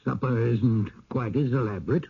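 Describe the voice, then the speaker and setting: An actor playing an older, genial, folksy small-town mayor in a radio drama, unhurried and a little hesitant. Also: male voice, medium pitch, vocal fry, breathy edge. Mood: hospitable. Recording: dull old radio recording.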